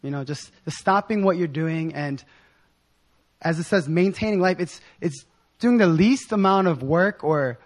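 A young man with a hoarse voice giving an informal sermon in a casual, slangy, excited manner. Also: fairly high pitch, a little slow. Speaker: preacher.

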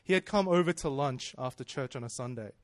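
A man's voice: medium pitch, lively intonation, a little fast, dry recording. A man, preaching in a chatty, self-deprecating storytelling way that often breaks into laughs. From Australia. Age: 30s. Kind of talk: personal storytelling sermon.

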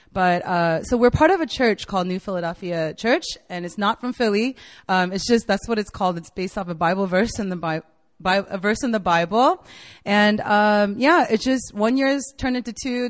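A woman's voice: mid-range voice, in a large hall. A woman in her late twenties, a campus ministry staff member, giving a casual, enthusiastic sermon to college students in a university meeting room, full of "like" and "right?". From United States (Virginia). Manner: chatty and enthusiastic, filler-heavy with frequent "like" and "right?".

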